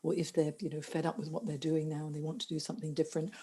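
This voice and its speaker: A woman's voice: low voice, little pitch movement, rapid-fire, mellow, breathy edge. A middle-aged woman careers coach talking earnestly as a podcast guest, often restarting her sentences. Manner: earnest, many restarts and fillers. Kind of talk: conversational podcast interview.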